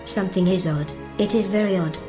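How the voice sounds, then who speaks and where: A woman's voice: medium pitch, brisk, close to an everyday voice. A woman playing a polite stand-in narrator, reading scripted narration for an audio serial. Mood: matter-of-fact. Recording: music under the voice.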